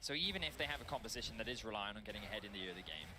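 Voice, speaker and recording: A man giving animated, laughing analysis as an esports co-caster. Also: on the low side, rapid-fire, loud music behind the voice.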